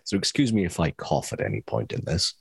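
Man, podcast co-host, hoarse, close dry sound. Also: extremely low pitch, very animated, fairly quick, no echo. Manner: reflective, meandering. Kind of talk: casual podcast chat.

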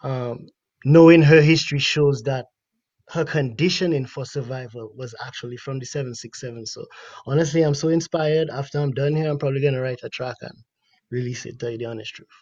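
A man's voice: medium pitch, a little mellow. Earnest Dominican man on a dull line. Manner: earnest, thoughtful, measured. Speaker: guest musician. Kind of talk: casual interview chat. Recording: dry, dull lo-fi sound.

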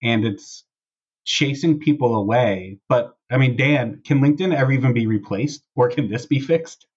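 A man's voice: medium pitch, normal speed, darkish tone, husky, dull audio. A man hosting a casual podcast discussion, talking through and reading out posts. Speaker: podcast host.

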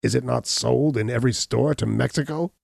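A man voicing a hunchbacked murderer, a cornered, defiant villain who blusters and shouts in a raised, strained voice. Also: medium pitch, brisk, creaky, husky, no echo, clean studio sound, pitched above the performer's own voice. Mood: scornful. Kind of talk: audiobook reading.

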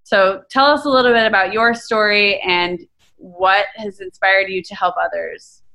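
A woman's voice: medium pitch, moderate pace, creaky, bright, forceful tone. A young woman co-hosting a podcast, upbeat and enthusiastic as she interviews a guest. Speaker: podcast co-host. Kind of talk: scripted intro and interview.

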